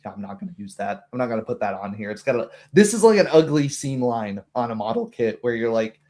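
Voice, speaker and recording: A man talks casually as a game master, in a conversational and animated way. He slips into quoted asides as he explains his thinking about a tabletop game. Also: medium pitch, fast talker.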